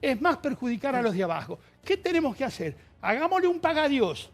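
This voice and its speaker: A man's voice: very high-pitched, big pitch swings, soft background music. Guy on a tv panel, stumbles and repeats himself, voice goes up and down a lot. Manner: halting, repeats words, pleading. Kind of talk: television debate.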